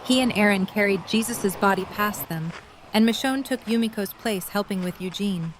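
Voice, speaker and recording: A woman's voice: mid-range voice, normal speed, a little hiss or hum, poor audio. Woman narrating scripted fiction, faint ambience.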